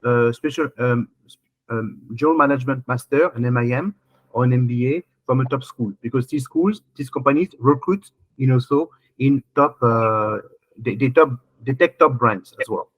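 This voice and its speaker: A man's voice: medium pitch, normal speed, no room sound, muffled recording. A man, hosting a webinar and coaching applicants with rambling, repeated questions over a video call. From France. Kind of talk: casual webinar Q&A.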